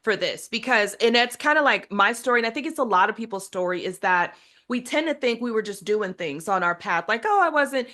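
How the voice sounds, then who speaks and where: A woman's voice: a little high, expressive, a little fast, bright, forceful tone. A woman hosting an interview, chatty and enthusiastic, asking long layered questions. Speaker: podcast host. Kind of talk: casual interview chat.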